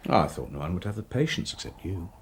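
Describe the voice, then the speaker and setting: A man's voice: low voice, lively intonation, quick pace, husky, heavily acted. An actor playing a blunt, worldly man in a radio drama, speaking frankly and dryly. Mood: wry. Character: blunt worldly man, local agent, Mr Dean.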